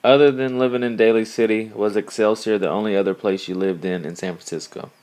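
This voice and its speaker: A man conducting an oral history interview, asking plain, measured questions. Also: on the low side, fairly even intonation, darkish tone.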